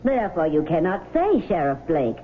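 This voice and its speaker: A woman's voice: low voice, big pitch swings, moderate pace. Formal lady lawyer, tinny radio sound. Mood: coolly challenging. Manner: precise, formal, challenging. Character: cool, formal, insistent female defense attorney. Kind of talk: radio drama acting. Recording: tinny, noisy 1970s radio broadcast.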